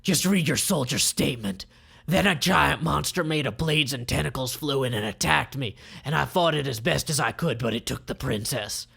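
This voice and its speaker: A man voicing an angry, vengeful villain tycoon in a forceful, menacing, dramatic way for a comic read aloud. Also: medium pitch, normal speed, creaky, breathy edge, heavily acted. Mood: grim, insistent.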